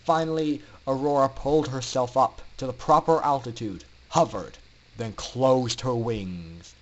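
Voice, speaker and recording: A man narrating a story in a theatrical, emphatic style with dramatic pauses. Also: mid-range voice, dull audio, slight background noise. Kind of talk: dramatic fan-fiction story reading.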